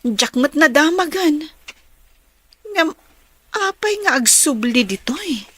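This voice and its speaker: Casting: actress as concerned young woman for radio-style drama, very high voice, dry close sound. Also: lively intonation, takes it slowly, fairly bright tone, no room sound, heavily acted. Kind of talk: Ilocano audio drama acting.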